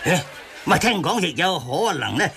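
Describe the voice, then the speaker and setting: High-pitched, excitable Cantonese man. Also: moderate pace, creaky, noisy background, heavily acted. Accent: Hong Kong Cantonese. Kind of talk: radio drama acting.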